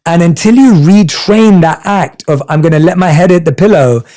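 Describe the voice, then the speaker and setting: Mellow-voiced male interviewer. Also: a little high, normal speed. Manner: affirming, encouraging interviewer. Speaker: podcast host. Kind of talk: interview conversation.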